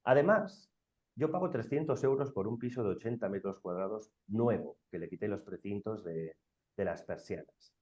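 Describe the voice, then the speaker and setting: A man's voice: on the low side, moderate pace, a little mellow. A man from Bilbao with a northern Spanish accent speaks emphatically and colloquially as a panelist, asking himself rhetorical questions, on muffled lo-fi audio. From Bilbao, Spain. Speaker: round-table panelist. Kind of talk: informal panel talk.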